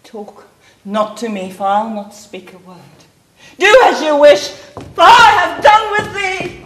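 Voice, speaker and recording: Aloof Shakespearean mother, female actor: curt, bitter, high lively voice; very noisy recording. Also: slow pace, echoey room. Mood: cold dismissal. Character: cold, dismissive noblewoman, wife and mother. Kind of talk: classical stage verse acting.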